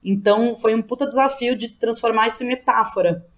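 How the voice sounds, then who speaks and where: A woman's voice: a little high, fairly even intonation, fairly quick. Informal Brazilian woman from São Paulo, slangy. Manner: informal and slangy, thinking aloud, frequently checking with "né". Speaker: interview guest, playwright and theatre educator. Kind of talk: casual podcast interview.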